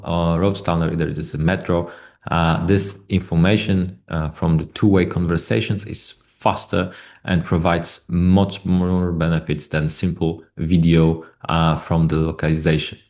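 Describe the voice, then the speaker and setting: A man who is not a native English speaker giving a technical product presentation in a webinar, speaking haltingly with many hesitations and repeated words. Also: deep voice, a little mellow.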